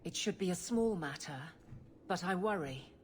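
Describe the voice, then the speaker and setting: A woman playing a stern, guarded captain of the city guard in a video game, speaking briskly and formally. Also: on the low side, animated, a little hiss or hum, lightly acted. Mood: guarded, uneasy.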